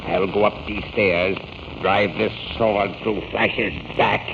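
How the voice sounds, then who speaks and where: An actor playing a proud, scheming army general in a 1930s radio serial, blustering and imperious. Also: male voice, fairly low pitch, steady tone, slow, gravelly. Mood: scheming, determined. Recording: noisy, dull old radio recording.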